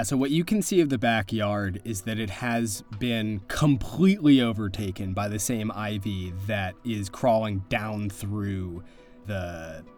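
A man's voice: fairly low pitch, darkish tone. Conversational male game master over faint music. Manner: conversational, descriptive. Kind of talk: improvised tabletop horror-comedy narration.

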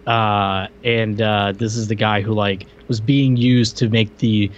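A man co-hosting a podcast, chatting about a film in an informal, joking and halting way. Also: fairly low pitch, level tone, muffled recording. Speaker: podcast co-host. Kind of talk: casual movie review chat. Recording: noticeable background noise.

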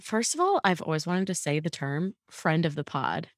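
A woman's voice: sits low, big pitch swings, moderate pace, dry recording, studio quality. A young woman co-hosting a casual chat podcast, upbeat and giggly with lots of fillers, fighting allergies. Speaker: podcast co-host.

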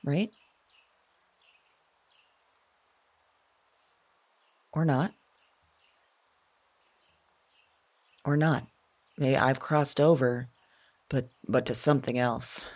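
A woman playing a woman who thinks she talks to women across time, in a halting, searching monologue. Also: a bass voice, steady tone, darkish tone. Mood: earnest, hushed. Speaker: lead character of an audio drama. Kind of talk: intimate dramatic monologue.

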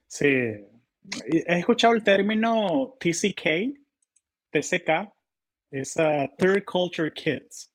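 A man hosts a podcast interview in an easy, conversational way, often restarting his sentences. His voice sits a little high for a man. He comes across as a chatty Latin American host. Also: slow. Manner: relaxed, chatty, with frequent restarts.

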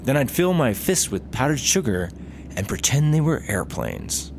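Excitable, eager young traveler, man playing, lively pitch, noisy plane-cabin background. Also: moderate pace. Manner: excitable, pitch jumps high when excited. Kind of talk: comedy audio drama acting.

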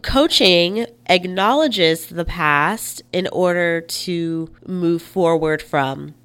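Female podcast host and life coach, unhurried and informal, expressive delivery, resonant tone with slight head-cold congestion. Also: lowish voice, clean tone. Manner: informal and expressive, often checks in with "right?". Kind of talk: chatty solo podcast talk.

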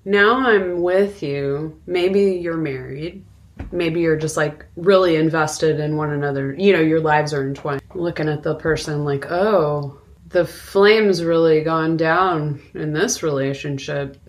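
A woman's voice: sits low, unhurried. A woman co-hosting a podcast, talking casually and reflectively about a film in an informal way. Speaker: podcast co-host. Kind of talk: casual conversational film discussion.

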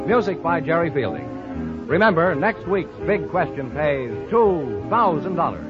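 Lively high-pitched male vintage radio announcer. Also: unhurried, loud music behind the voice. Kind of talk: 1950s radio announcing, scripted. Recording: dull vintage radio broadcast recording.

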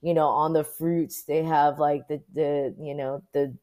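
Woman, American accent, low pitch, casual conversational interview, informal, thinking aloud. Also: flat delivery, measured pace, dark tone. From Orange County, United States. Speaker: photographer being interviewed.

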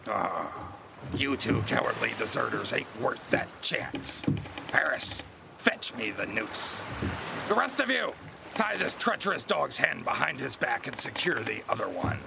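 Gravelly, cruel ship captain. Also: male voice, medium pitch, sing-song, a little slow, very noisy, full character voice. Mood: menacing. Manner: commanding, menacing, archaic sailor speech. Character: cruel, domineering ship captain, contemptuous and vengeful. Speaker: actor in audio drama. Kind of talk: nautical audio drama acting.

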